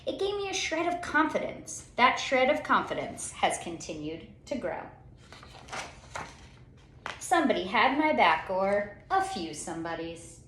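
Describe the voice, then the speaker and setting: A woman reading a children's story aloud in an expressive, theatrical way, with dramatic pauses and drawn-out words. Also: mid-range voice, slight background noise. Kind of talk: expressive picture-book storytelling. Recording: roomy, echoey sound.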